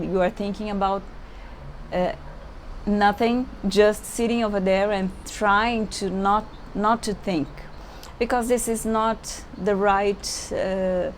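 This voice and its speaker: Brazilian woman yoga teacher, mid-range voice, hesitant non-native English, camera mic with faint room noise. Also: medium pitch. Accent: Brazilian Portuguese. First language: Portuguese. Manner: hesitant, searching for English words, many fillers. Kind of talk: unscripted yoga introduction to camera. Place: indoors at home, seated on a chair.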